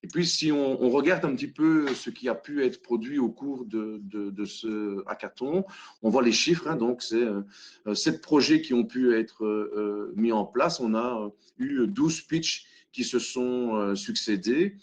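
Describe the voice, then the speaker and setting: A man's voice: medium pitch, a little slow. A man with a Belgian French accent presenting a hackathon method in a webinar, hesitant, with many fillers and restarts. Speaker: hackathon facilitator. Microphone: video call.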